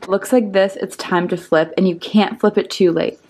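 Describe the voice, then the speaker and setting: Young woman, gravelly vocal fry, chatty and giggly, hungover, roomy kitchen echo. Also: mid-range voice, fairly even intonation, moderate pace, darkish tone, a little hiss or hum. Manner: chatty, giggly, lots of likes and ums. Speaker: cooking vlogger. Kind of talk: casual chatty cooking vlog. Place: home kitchen and dining room, indoors.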